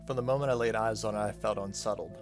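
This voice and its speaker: Horror visual novel teen girl read by a man, low register, earnest and unsettled, conversational delivery. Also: fairly even intonation, fast talker, soft background music, close to an everyday voice. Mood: uneasy, earnest. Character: frank, uneasy teenage girl telling a story.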